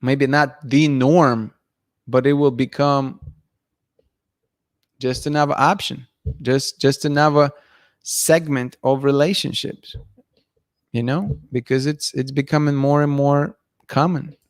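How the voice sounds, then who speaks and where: Man in his thirties, hoarse voice, blunt and opinionated, dry close studio mic, livestream host. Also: mid-range voice, fairly even intonation, takes it slowly, pauses often, no room sound. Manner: blunt, opinionated, thinks aloud with restarts. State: losing his voice, clearing throat. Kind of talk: casual livestream talk reading chat comments. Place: dim room at night, indoors. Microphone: studio mic in front of him.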